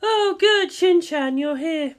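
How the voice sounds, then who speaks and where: An exasperated woman guardian from an anime-style story, acted by a woman reader. She speaks high and emphatically, insistent and bossy, yet friendly underneath. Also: expressive, slow pace, full character voice. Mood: flat, forced welcome.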